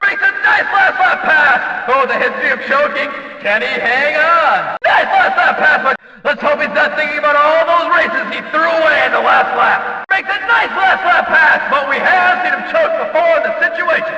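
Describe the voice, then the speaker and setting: Hyped up cheeky racing game announcer, super high voice, really echoey and far off from the mic. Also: male voice, bright, forceful tone, full character voice. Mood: teasing excitement. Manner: emphatic, showy announcing. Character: excitable, cheeky male stock car race announcer. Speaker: race announcer in a video game. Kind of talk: excited racing commentary. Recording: echoey PA-like hall, distant and dull.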